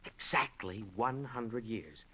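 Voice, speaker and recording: A man's voice: medium pitch, animated, slow, bright, forceful tone, husky, exaggerated voice. A man acting in a 1950s radio drama, playing an earnest young time traveler who speaks in hesitant, exclaiming bursts. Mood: flustered, insistent. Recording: muffled old radio recording.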